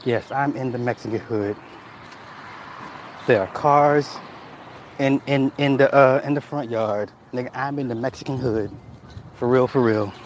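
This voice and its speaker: A man talks casually on a livestream, reading comments and telling stories. He speaks at an average pitch, swears freely, and laughs often. A faint hum of background noise sits behind his voice. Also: unhurried, long pauses. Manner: loose, profane storytelling, laughing at chat comments. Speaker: livestream host reading chat.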